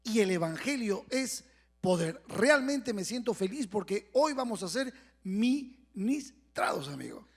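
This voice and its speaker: A man's voice: high-pitched, big pitch swings, measured pace, faint music behind. A male pastor co-hosting a live online broadcast, speaking in an earnest, promotional way. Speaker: co-host, pastor.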